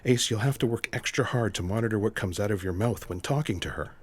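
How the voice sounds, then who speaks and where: A deep-voiced, eccentric male intelligence agent, played by an actor in a sci-fi audio play. He is earnest and formal, choosing his words deliberately with a deadpan delivery. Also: slightly rough. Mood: smitten, private aside. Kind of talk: sci-fi audio theatre acting.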